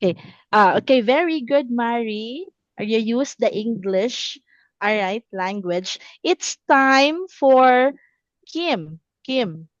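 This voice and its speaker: Slow, high-voiced woman English teacher. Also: animated, no echo, lo-fi sound. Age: 30s. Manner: instructional, repeats words and checks understanding. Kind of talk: simple, encouraging online language lesson.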